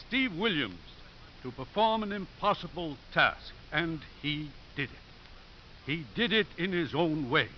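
A man playing a humble old priest in a 1950s radio drama, speaking in a measured, solemn voice. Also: fairly high pitch. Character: humble old priest, head of a struggling school. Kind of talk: 1950s radio drama acting, formal speech. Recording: hissy muffled 1950s radio recording.

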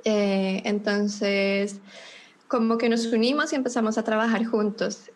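A woman with a Bogotá Colombian accent, a podcast guest telling her story in a relaxed way, pausing often with fillers. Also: medium pitch. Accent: Bogotá Colombian Spanish. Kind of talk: casual interview chat.

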